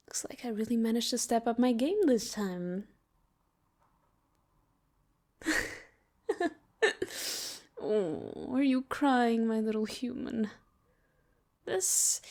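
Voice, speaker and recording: A woman voicing a teasing yet tender female demon in an intimate roleplay ASMR style, soft and breathy, often near a whisper. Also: on the high side, close to an everyday voice. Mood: mocking, teasing. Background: music.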